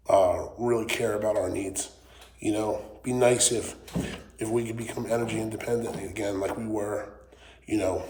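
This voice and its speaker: A man's voice: medium pitch, dark tone. Channel host, New man with a weak, recovering voice, rambling rant, roomy workshop echo. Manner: rambling, frequent ums and swearing. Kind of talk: casual political rant to camera. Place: wood shop. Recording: echoey workshop with faint noise.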